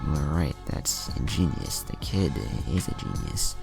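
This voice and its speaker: A man's voice: very deep voice, sing-song, unhurried, creaky, over music, exaggerated voice. A man voicing a thoughtful, impressed woman ninja master, musing, in an anime fan story.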